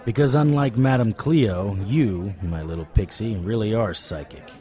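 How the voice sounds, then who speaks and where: Guy playing a wisecracking supernatural pi, deep-ish relaxed voice, persuasive, audio drama with street noise behind. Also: normal speed, some chatter behind, close to an everyday voice. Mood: teasing. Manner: wry, flirtatious, persuasive. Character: wisecracking, stubborn male supernatural private investigator. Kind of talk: audio drama acting with noir internal monologue.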